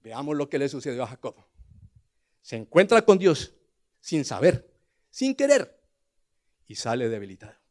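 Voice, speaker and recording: A man in his sixties with a Colombian accent preaching a sermon, telling scripture as a dramatic story and moving between hushed asides and loud, emphatic declarations. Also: mid-range voice, big pitch swings, normal speed, long pauses. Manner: rhetorical questions to the congregation.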